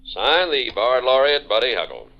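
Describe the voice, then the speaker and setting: An actor playing a pompous, indignant hack poet, declaiming in old radio drama style. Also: male voice, mid-range voice, very animated, moderate pace, close to an everyday voice. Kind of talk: 1940s radio drama acting. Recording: muffled old radio recording with music.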